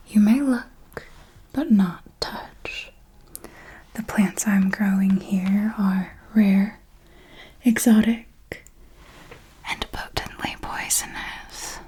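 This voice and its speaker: A woman playing an elegant vampire mentor in a whispered ASMR roleplay, formal and deliberate, possessive, teasingly menacing and protective, with a breathy delivery. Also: mid-range voice, steady tone, dark tone, rough recording. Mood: calm warning. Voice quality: breathy, mostly unvoiced whisper. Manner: slow, deliberate, formal, with measured pauses.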